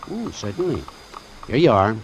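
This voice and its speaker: Wary hard-boiled doctor, animated vintage radio voice. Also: male voice, lowish voice, moderate pace, a little hiss or hum, poor audio. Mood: wry, probing. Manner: terse, suspenseful. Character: wary, hard-boiled doctor narrating his sea adventure. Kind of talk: old-time radio drama acting and narration. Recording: vintage radio drama with music underneath.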